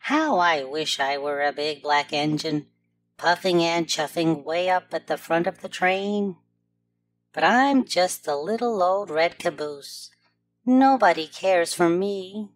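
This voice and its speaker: A woman's voice: on the low side, takes it slowly, dry recording, soft background music. A woman voicing a plaintive little red caboose, a wistful storybook underdog. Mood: wistful, self-pitying.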